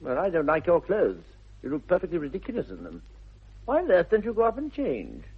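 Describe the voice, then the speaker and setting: A man playing a charming, flippant young upper-class English gentleman with an airy theatrical manner in a radio comedy. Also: a little high, wildly swooping pitch, a little fast, breathy edge, heavily acted. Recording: dull 1960s radio recording.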